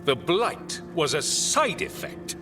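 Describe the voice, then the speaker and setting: Pompous fantasy commander in an echoing hall. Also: male voice, fairly high pitch, big pitch swings, takes it slowly. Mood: skeptical, impatient. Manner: formal, pompous, dismissive. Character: stern, haughty military commander of a warden order. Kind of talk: fantasy game voice acting. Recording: echoing hall under loud game music.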